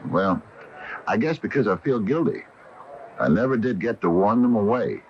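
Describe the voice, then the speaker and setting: A man's voice: on the low side, lively intonation, moderate pace, close to an everyday voice. An actor playing an uneasy, guilt-ridden but curious small-town man who hesitates and stammers in a radio mystery drama. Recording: dull noisy old AM radio tape.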